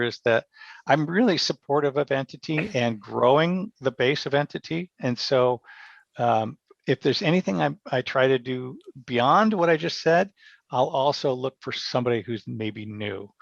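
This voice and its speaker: A man's voice: mid-range voice, gravelly, dry recording, muffled recording. A man giving conversational, explanatory interview answers as a theatre director, often repeating and rephrasing himself. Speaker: radio theatre director, podcast guest.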